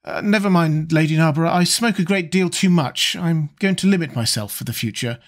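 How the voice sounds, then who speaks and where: A man's voice: fairly high pitch, a little fast, voice pushed up, natural voice. A man putting on a poised, murmuring society lady. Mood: polite. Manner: polite, murmuring.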